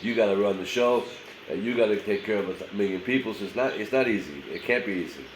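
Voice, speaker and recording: Nasal pastor with a head cold. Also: male voice, fairly low pitch, quick pace, echoey room, noisy background. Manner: casual, conversational preaching with many fillers. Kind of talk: short daily sermon.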